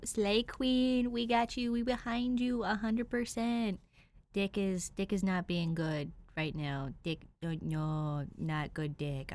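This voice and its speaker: A woman reading fan fiction aloud, expressive and chatty, excitable when she talks to her listeners. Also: lowish voice. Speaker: fan fiction audiobook reader. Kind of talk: reading fiction aloud, then casual chat.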